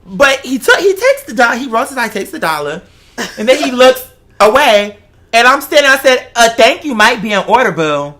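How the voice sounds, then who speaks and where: A woman's voice: a little high, very animated, normal speed, fairly bright tone. An American woman chatting casually and telling stories in a sassy, emphatic, conversational way. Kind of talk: casual chat, animated storytelling.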